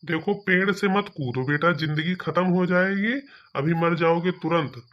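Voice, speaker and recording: A man's voice: fairly high pitch, normal speed, lo-fi sound, lightly acted. A man acting a bossy village police officer in a cartoon comedy, commanding. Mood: coaxing, urgent. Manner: authoritative, commanding.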